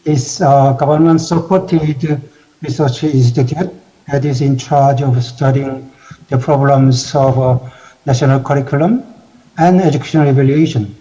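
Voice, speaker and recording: A man with a Korean accent and a mellow, dark-edged voice delivers formal remarks as an institute president. Korean is his native language, and he speaks in halting, measured phrases. The recording is somewhat dull and lo-fi. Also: mid-range voice, fairly even intonation. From South Korea. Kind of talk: formal prepared speech.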